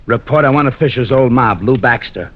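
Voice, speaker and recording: A man plays a deferential, slightly bumbling policeman. His medium-pitched voice is hesitant, in vintage radio drama style. The recording is muffled. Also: brisk, lightly acted. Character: dim, hesitant, deferential male police officer. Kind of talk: 1940s-50s radio drama acting.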